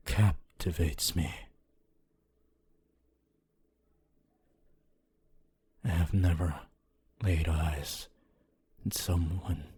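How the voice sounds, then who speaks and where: A very deep, heavily breathy male ghost, performed by a man for an intimate ASMR roleplay. He is a centuries-dead scholar, gentle and wistful. His formal phrases come out very slowly, with long pauses. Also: heavily acted. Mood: tender wonder. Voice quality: low, breathy, husky.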